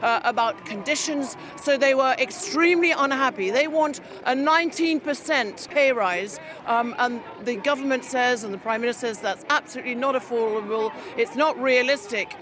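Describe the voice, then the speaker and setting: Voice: mid-range voice, normal speed, few pauses, background noise. A reporter speaking live from a street protest outdoors, talking conversationally with fillers. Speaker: field reporter. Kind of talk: live on-scene news report. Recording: street crowd loud behind the voice.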